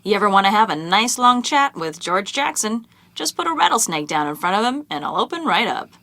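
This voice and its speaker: A knowing, chatty female gossip from an adventure game. Her delivery is lively and teasing, confiding in the listener, recorded close and dry. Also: mid-range voice, fairly quick, no room sound. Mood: chatty, amused. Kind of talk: animated adventure game dialogue.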